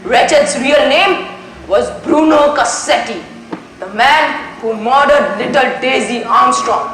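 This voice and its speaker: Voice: high voice, a bit bright, full character voice. Young student playing a methodical detective on an echoey stage. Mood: resolute. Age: teenager. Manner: deliberate, questioning, formal, with French courtesies. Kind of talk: school stage play acting. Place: indoor school stage. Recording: echoey school stage recording with music.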